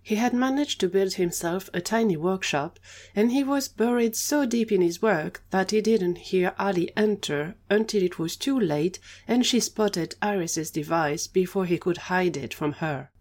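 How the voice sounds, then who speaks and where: A woman's voice: medium pitch, normal speed, darkish tone. A woman reading scripted story narration for an audio drama.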